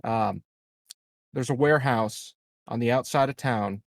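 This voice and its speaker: A man playing a tabletop game, talking casually and haltingly with plenty of swearing. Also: mid-range voice, creaky, no echo. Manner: profane, halting.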